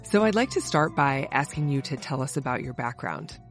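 A woman's voice: extremely low pitch, lively intonation, normal speed, soft background music. A woman hosting a podcast, reading prepared introductions and putting questions to a guest. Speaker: podcast host, interviewer.

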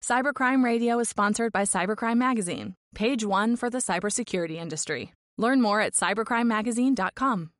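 A woman's voice: fairly high pitch, brisk, fairly bright tone, faint music behind. A woman announcer reading a scripted radio station ident in a polished delivery.

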